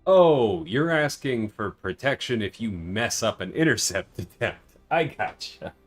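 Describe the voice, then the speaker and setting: A man's voice: on the low side, very animated, measured pace, faint music behind. A man livestreaming a video game, chatting casually and thinking aloud as he reasons with viewers. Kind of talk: casual livestream gameplay chat.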